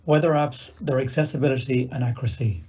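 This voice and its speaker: A man's voice: medium pitch, quick pace, mellow, husky. A man giving scripted instructional narration in a methodical, matter-of-fact way. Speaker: video demonstrator. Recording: dull lo-fi recorded video audio.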